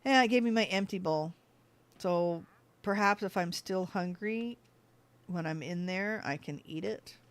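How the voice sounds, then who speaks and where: A let's-play host talks through a mining game in easy, chatty commentary. They think aloud, ask themselves questions and answer them. The pitch sits in a plain middle range. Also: measured pace, no room sound. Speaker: gaming channel host.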